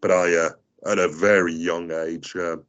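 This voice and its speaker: Deep voiced guy, greek is his first language, lots of uhs and restarts, chatting as a guest. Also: little pitch movement, moderate pace, fairly bright tone, highs cut off. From South Africa. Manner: hesitant, many fillers and restarts. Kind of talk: casual interview talk.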